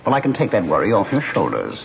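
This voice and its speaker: A man's voice: lowish voice, wildly swooping pitch, quick pace, gravelly, full character voice. An actor playing a taunting male ghost who haunts a man, in a mocking, insinuating radio drama style. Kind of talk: 1970s radio drama acting. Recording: dull old radio broadcast with faint hiss.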